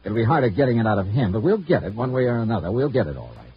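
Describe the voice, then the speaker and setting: An actor playing a terse, cynical insurance investigator in a mid-century radio detective drama, narrating and questioning in a clipped, wry manner. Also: male voice, medium pitch, big pitch swings, very fast, husky. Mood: stern, pressing. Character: hardboiled insurance investigator, male, brisk, cynical, commanding. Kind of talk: 1950s radio detective acting, clipped first-person narration. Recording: dull vintage radio recording, music behind.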